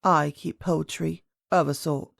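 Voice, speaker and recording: A woman voicing a very old, gentle and mysterious grandmother queen in a storybook, speaking calmly and kindly with measured words. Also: low-pitched, creaky, no room sound, studio quality, pitched below the performer's own voice, exaggerated voice. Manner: calm, measured, kindly, enigmatic. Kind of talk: storybook character voice.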